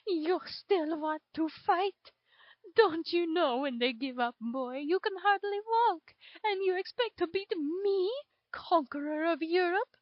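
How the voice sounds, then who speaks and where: A grandiose male dictator as a woman storyteller puts him on gloats and taunts in a strikingly high voice. It is a storybook villain with jovial menace, captured in a dry, lo-fi recording. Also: lively intonation, slow, no room sound, full character voice. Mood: taunting. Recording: dull lo-fi home recording.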